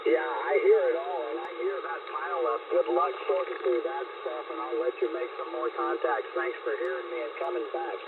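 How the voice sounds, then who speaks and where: Tinny radio signal, very creaky-voiced man. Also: fairly high pitch, a little fast, hardly any pauses. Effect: heard over shortwave radio. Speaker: amateur radio operator calling in. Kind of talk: brief friendly on-air radio exchange. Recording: tinny narrowband radio signal with faint static.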